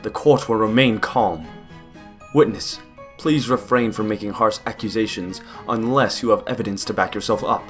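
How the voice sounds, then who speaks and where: A man's voice: deep voice, lively intonation, fairly quick, few pauses, music in the background. A man playing a stern, formal judge keeping order in an audio drama.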